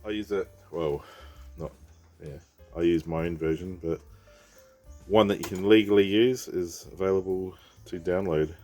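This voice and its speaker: Aussie guy with a head cold, low voice, rambling thru a pc fix, bit of music under it. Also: moderate pace, long pauses, warm tone. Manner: rambling, thinks aloud, reads on-screen text. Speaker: PC repair vlogger. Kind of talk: casual rambling commentary while working.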